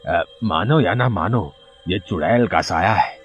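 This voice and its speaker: A man voicing a kindly old village headman who speaks slowly and reassuringly in a cartoon story. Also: deep voice, expressive, lo-fi sound, soft background music. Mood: grave concern. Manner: slow, reassuring, deliberate.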